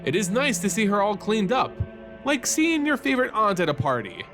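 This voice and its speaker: A man reading scripted fantasy narration as a host and storyteller, with a measured, dramatic delivery. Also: high-pitched, wildly swooping pitch, fairly bright tone. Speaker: host and story narrator of a fan lore channel. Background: music under the voice.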